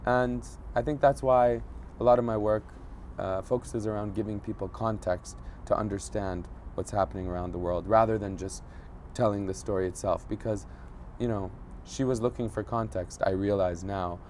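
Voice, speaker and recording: A man in his thirties recounts a childhood memory with a fairly low voice. He speaks reflectively, pausing and reaching for words with small fillers. Faint street noise and passing vehicles sit behind him. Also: steady tone, darkish tone. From Egypt. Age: 30s. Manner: thoughtful, searching for words, frequent fillers. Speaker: journalist guest. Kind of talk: reflective interview storytelling.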